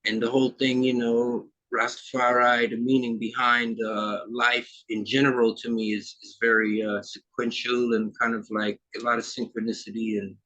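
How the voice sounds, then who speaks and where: A man in his fifties who grew up in Trinidad chats as an interview guest, laid back and meandering, with lots of "you know" and "man". His voice sits at an ordinary pitch. The sound is dull and a bit lo-fi. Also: level tone, moderate pace, few pauses, sharp tone. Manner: easygoing, rambling with many fillers. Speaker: musician guest. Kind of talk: casual interview chat.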